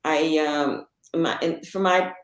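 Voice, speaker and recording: Interview guest: woman in her sixties from Israel, lowish voice, halting, lots of fillers, roomy echo. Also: fairly even intonation. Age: 60s. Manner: halting, many fillers and restarts. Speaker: author and psychotherapist, guest. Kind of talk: conversational interview.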